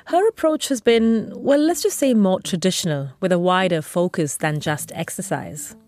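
Calm woman host narrating a podcast. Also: fairly low pitch, moderate pace. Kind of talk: scripted narration.

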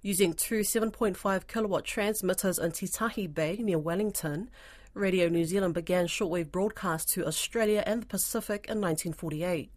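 A woman news presenter opens the report in a crisp, formal newsreading manner. Her voice is fairly low for a woman, with a dry, close studio sound. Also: moderate pace, a little mellow, no room sound. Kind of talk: scripted news introduction.

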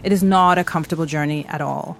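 A woman who grew up in East Africa, hosting and narrating a documentary podcast in a measured, earnest way. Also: low voice, vocal fry, slight background noise.

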